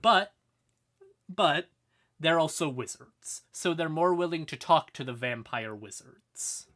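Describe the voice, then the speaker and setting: A man's voice: a little high, animated, slow, fairly bright tone. A man hosting a livestream and explaining fantasy lore in a casual, irreverent, sweary, conversational way. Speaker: livestream host.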